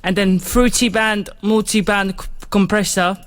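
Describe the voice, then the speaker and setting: A man's voice: high-pitched, level tone, unhurried, a bit bright. A man hosting a music production tutorial in an informal, rambling, chatty way, explaining things step by step. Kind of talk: casual step-by-step software tutorial.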